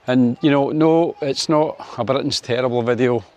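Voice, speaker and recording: A man's voice: normal speed, darkish tone. A Scottish man in his sixties from Scotland, chatting to camera on his vlog in a wry, filler-heavy way. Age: 60s. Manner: chatty, wry, lots of fillers, lifts his pitch for sunny Spain. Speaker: vlogger. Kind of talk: casual opinion vlog to camera.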